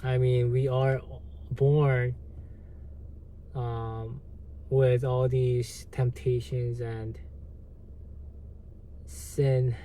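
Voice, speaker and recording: A man with a Korean accent, a native Korean speaker, hosting a livestream and answering viewer comments in a halting, hesitant way with lots of fillers. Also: mid-range voice, mellow, slight background noise. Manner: slow, halting, many fillers and restarts. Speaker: livestream host.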